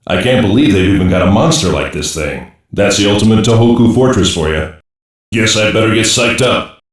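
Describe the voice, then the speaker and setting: A male actor plays a gruff special-ops cyborg soldier from an anime dub. His low voice delivers blunt, slangy wisecracks with a sardonic edge. The sound is heavily echoed and sits far from the mic. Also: lively intonation, fairly quick, close to an everyday voice. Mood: wry, casual. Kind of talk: English-dub anime voice lines.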